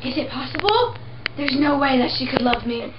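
A woman's voice: high-pitched, expressive, normal speed, dull audio, noisy background. A young woman reading several parts in a home puppet show of a classic play, in a plain, earnest way. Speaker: amateur sock-puppet performer. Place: indoor room behind a sheet backdrop.

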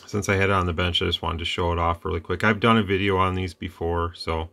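A man hosting a casual radio demo at his workbench, talking in a relaxed, plain-spoken way with rambling asides. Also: low-pitched, quick pace, creaky. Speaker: radio repair channel host.

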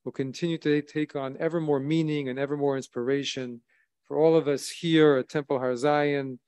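A man in his thirties, a rabbi, delivering a sermon from a synagogue lectern with measured, solemn emphasis. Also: on the high side, steady tone, darkish tone, clean tone. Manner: measured, solemn preaching with rising emphasis on key phrases. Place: synagogue sanctuary at a wooden lectern, indoors. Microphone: lectern microphone, streamed by video.

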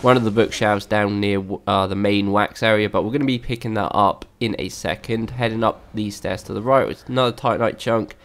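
A man's voice: fairly low pitch, steady tone, brisk, few pauses, a bit bright. A young man with a slightly worn voice, casually narrating a game walkthrough with many fillers and restarts. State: slightly lost voice.

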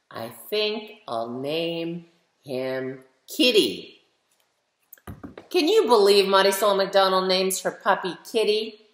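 A woman of fifty reading a picture book aloud expressively, speaking directly to young listeners with questions and emphasis. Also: on the low side. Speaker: children's author reading aloud. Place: living room at home, indoors. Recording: roomy indoor webcam echo.

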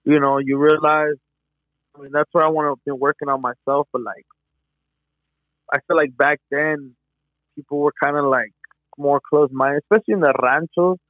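A man's voice: fairly high pitch, steady tone, normal speed, pauses often, no echo. A young man hosting a podcast, talking casually and full of slang like "bro" and "hella". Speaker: podcast host. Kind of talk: casual conversation. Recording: tinny, dry phone-like line.

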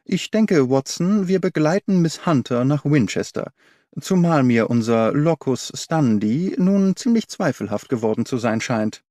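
A man voicing a shrewd, composed gentleman detective in an audiobook story, speaking formally and courteously as he reasons. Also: mid-range voice, lively intonation, measured pace, no room sound, clean studio sound, lightly acted. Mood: courteous, decisive.

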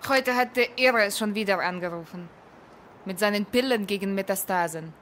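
A woman's voice: mid-range voice, moderate pace, a bit bright, natural voice. A woman playing a weary, scornful official in a German radio play. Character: cynical, contemptuous female official. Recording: noisy office with keyboard typing.

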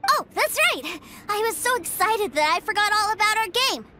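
A woman voicing a wide-eyed, excitable little boy in a video game, an innocent, gushing younger brother. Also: extremely high pitch, normal speed, very noisy. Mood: puzzled. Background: loud game music.

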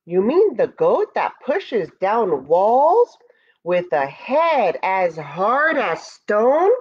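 A woman's voice: mid-range voice, very animated, drawn-out words, darkish tone, dull audio, full character voice. A woman voicing a towering female bear in a children's storybook, busy, hesitant and apologetic with excuses. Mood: questioning. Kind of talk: children's storybook character reading.

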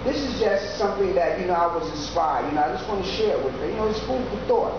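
A preacher giving an informal sermon, talking slowly and conversationally with frequent "yeah" and "you know". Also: medium pitch. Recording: echoey, dull recording with steady background noise.

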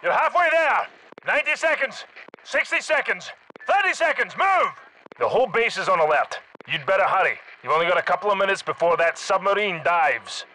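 Game casting: Scottish special forces soldier, commanding and tough, forceful edge, clipped combat delivery. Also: male voice, high voice, very animated, a little fast, a little hiss or hum, full character voice, rough recording. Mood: urgent, shouting. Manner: clipped, terse radio-style military callouts. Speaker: video game squad leader. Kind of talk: military shooter game battle chatter.

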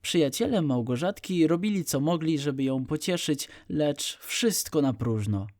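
A man's voice: fairly high pitch, animated, moderate pace, a little mellow, no echo, clean studio sound. A man narrating an audiobook story for young children.

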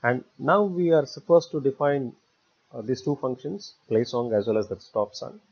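A man narrates a software tutorial step by step. His voice sits at an average pitch, and he speaks in halting, repetitive short chunks. The recording is somewhat dull and lo-fi. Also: animated, breathy edge. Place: voice-over for a screen recording.

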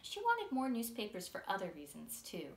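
A woman in her thirties reading a story aloud as its author, in a measured, clearly enunciated way. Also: medium pitch, wildly swooping pitch. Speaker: author reading from own book. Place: small furnished room indoors. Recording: roomy sound with faint noise.

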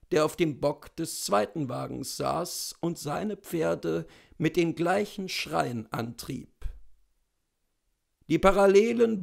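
A man in his thirties reads a literary story in a measured, expressive style. His voice is fairly high for a man, with a creaky edge, and he takes his time over each phrase. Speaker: narrator. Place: small indoor room lined with bookshelves.

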